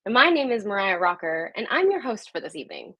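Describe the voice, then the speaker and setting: A woman's voice: mid-range voice, sing-song, quick pace, a bit bright, dry recording, highs cut off. A woman presenting as host of a live program, reading a prepared introduction in a welcoming, presenter-like way. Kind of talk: scripted live show introduction.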